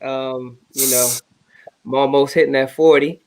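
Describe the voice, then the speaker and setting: Even-voiced man in his late thirties. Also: medium pitch, a little slow. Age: 30s. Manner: earnest and grateful. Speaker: guest mentor. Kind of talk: casual video call chat. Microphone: video call.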